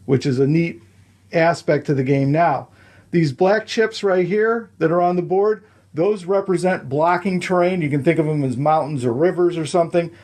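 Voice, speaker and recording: A man's voice: fairly high pitch, normal speed, darkish tone. A man explaining the rules of a board game step by step, counting moves aloud and checking in with the listener.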